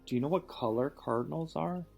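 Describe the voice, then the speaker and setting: A man reading a storybook aloud and pausing to ask questions in a patient, encouraging manner. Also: fairly high pitch, creaky, a little mellow, faint music behind. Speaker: reading teacher or parent reading aloud.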